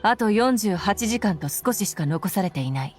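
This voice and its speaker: Actress as dutiful game commander: quick, clipped, formal delivery at middle pitch, faint music behind. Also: female voice, lively intonation, a little mellow, natural voice. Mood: earnest, formal. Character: stern, dutiful female military commander. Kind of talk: Japanese video game voice acting.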